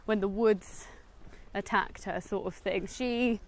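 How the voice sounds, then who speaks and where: A woman's voice: medium pitch, fairly even intonation, takes it slowly. A woman with an English accent chatting casually about a film while out walking, in a hesitant, hedging way. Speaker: film review vlogger. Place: outdoors, walking in woods.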